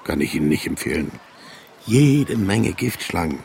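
Old retired east german army colonel in a radio drama, jovial n nostalgic, creaky voice, swoops up and down, bit of background noise. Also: male voice, sits low, very animated, normal speed, a little mellow, full character voice. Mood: animated, proud. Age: older.

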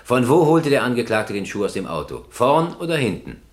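A man's voice: mid-range voice, sing-song, brisk, lightly acted. An actor playing a stern presiding judge in a German radio crime drama, formal and probing in his questioning. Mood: insistent, formal.

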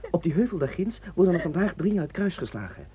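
An actress voicing a curious, chatty schoolboy in a radio play. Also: female voice, lowish voice, fast, a crowd in the background. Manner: lively, inquisitive. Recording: dull lo-fi recording.

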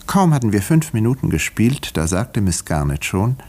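A low, husky village doctor. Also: male voice, big pitch swings, a little mellow. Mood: dry, wry. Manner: measured, dry, understated storytelling. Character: sober, reserved male village doctor who narrates dryly. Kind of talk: 1950s German radio play narration and dialogue.

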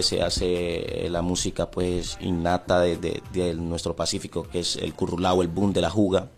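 A man with a Colombian Pacific coast accent talking casually as an interview guest, often repeating words as he searches for the next one. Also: deep voice. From Timbiquí, Pacific coast of Colombia.

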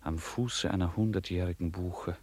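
A man's voice: low voice, steady tone, normal speed, darkish tone, breathy edge. A man narrating a literary radio drama as a composed storyteller, reading from a script.

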